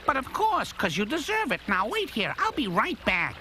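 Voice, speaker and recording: A cunning talking tomcat from a cartoon fairy tale. The voice is high and swoops with self-assured, courtly persuasion. Music plays behind it. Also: female voice, wildly swooping pitch, normal speed. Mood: confident, reassuring.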